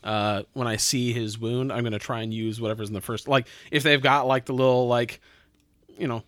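A man's voice: medium pitch, steady tone, fairly quick, fairly bright tone, dry recording, clean studio sound. A man playing a tabletop roleplaying game, chatting informally and slipping casually into in-character speech. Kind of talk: casual improvised roleplay chat.

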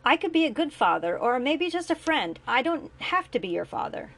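A woman's voice: sits high, very animated, moderate pace, fairly bright tone, voice pushed up, full character voice. A guilt-ridden older father, voiced by a woman narrating, pleading and earnest. He keeps a self-assured steadiness under the remorse, growing firm and impatient when pushed.

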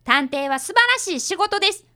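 A very high, animated boy's voice, acted by a woman, polite and earnest. He is an eager young detective's apprentice in a radio drama, keen to prove himself. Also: fairly quick. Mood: indignant, earnest. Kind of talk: radio drama acting with first-person narration.

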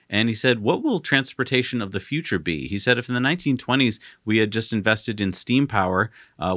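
Low-voiced man co-hosting, dry close sound. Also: sharp tone, no room sound. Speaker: co-host. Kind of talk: conversational news recap and commentary.

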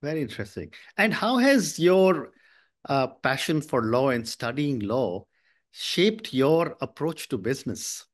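A man's voice: medium pitch, sing-song, a little slow. Polite man hosting a podcast. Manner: polite, formal introductions, many soft acknowledgments. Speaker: podcast host. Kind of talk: interview.

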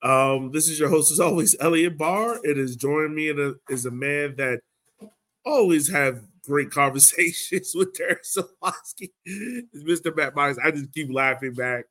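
A man's voice: fairly high pitch, moderate pace, fairly bright tone. A man hosting a sports podcast, chatting casually and informally. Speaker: podcast host.

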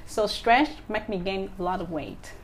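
A woman's voice: mid-range voice, moderate pace, slight background noise. A woman in her thirties from Vietnam with a Vietnamese accent, speaking as an interview guest with many fillers and restarts. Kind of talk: casual interview.

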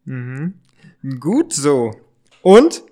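A man playing a sly, mock-stern gentleman who interrogates intruders in an amateur audio drama, in a halting, drawn-out way. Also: fairly high pitch, big pitch swings, heavily acted. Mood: sly questioning.

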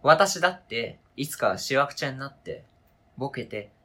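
A man's voice: medium pitch, lively intonation, moderate pace, sharp tone. A man acting a stubborn, hurt partner in an amateur radio drama, questioning intensely and insistently. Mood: coldly accusing. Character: stubborn, hurt partner fiercely attached to an old toy.

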